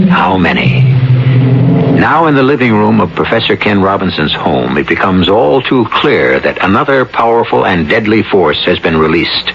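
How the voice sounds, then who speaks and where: A very low-voiced American man narrates a radio mystery with portentous deliberation. His pitch rises and falls dramatically. The old broadcast sounds dull and hissy. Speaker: host and narrator.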